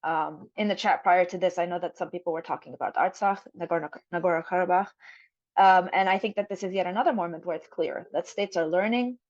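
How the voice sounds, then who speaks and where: A woman's voice: fairly low pitch, fast, no room sound, muffled recording. A woman from Jerusalem speaking on a forum panel over a video call, thoughtful, with frequent fillers and restarts.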